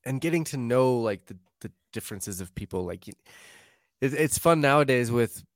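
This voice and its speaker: A man's voice: medium pitch, expressive, normal speed, dry recording, studio quality. A man hosting a podcast, rambling casually with restarts and the odd swear word. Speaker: podcast host.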